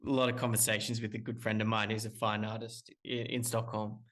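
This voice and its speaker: A man's voice: lowish voice, flat delivery, fairly quick, fairly bright tone. Hesitant, rambling man calling in. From Australia. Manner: rambling, hesitant, many restarts and fillers. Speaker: musician guest. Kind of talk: casual interview talk. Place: calling in remotely.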